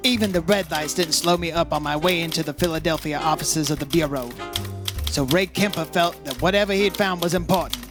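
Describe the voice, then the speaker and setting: A man playing a brisk, insistent insurance investigator in an old-time radio detective drama, with a clipped, commanding delivery. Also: a little high, over music, natural voice. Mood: brisk, businesslike.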